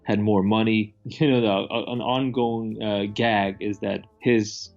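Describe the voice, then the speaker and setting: A man's voice: on the low side, flat delivery, a little slow, gravelly, lo-fi sound, faint music behind. A man chatting casually about films in a rambling, opinionated way, with some profanity. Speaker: movie review host. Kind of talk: casual film review chat.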